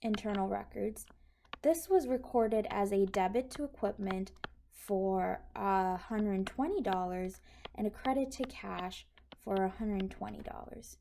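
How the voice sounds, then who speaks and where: Mellow-voiced woman teaching step by step. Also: mid-range voice. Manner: step-by-step, informal explaining. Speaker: accounting instructor. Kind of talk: explanatory tutorial over handwritten notes. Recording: clearly noisy recording with clicks.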